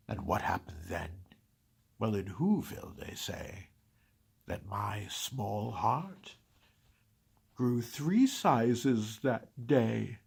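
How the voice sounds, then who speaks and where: A man's voice: medium pitch, creaky, breathy edge, heavily acted. A man voicing a grumpy, scheming Christmas-hating creature in a dramatic storybook reading, sighing and dropping into whispers. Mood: joyful. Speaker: reader.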